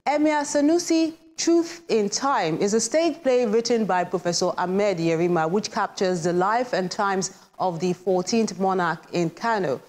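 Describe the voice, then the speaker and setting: A woman's voice: medium pitch, a little slow, hardly any pauses, darkish tone. A woman in her thirties with a Nigerian accent presenting a scripted television arts show as its host.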